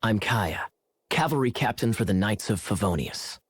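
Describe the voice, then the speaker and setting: A man voicing a suave, teasing cavalry captain in a video game, smooth and theatrical. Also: lowish voice, animated, moderate pace, slight background noise, natural voice. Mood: playful.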